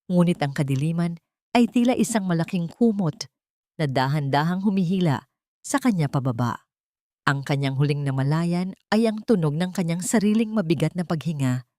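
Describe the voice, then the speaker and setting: Low-voiced woman narrating a drama. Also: mellow, dry recording, clean studio sound. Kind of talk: scripted dramatic audio story narration in Tagalog.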